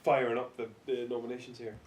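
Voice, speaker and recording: A man's voice: medium pitch, level tone, fast talker, a little mellow, a little hiss or hum. A man trading casual banter about films as a podcast panelist. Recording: echoey, distant, voices in the background.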